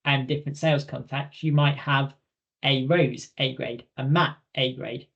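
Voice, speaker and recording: A man's voice: mid-range voice, fairly even intonation, unhurried, vocal fry, dull audio. A man presenting a training webinar in an informal, direct, conversational way, emphasising points through repetition.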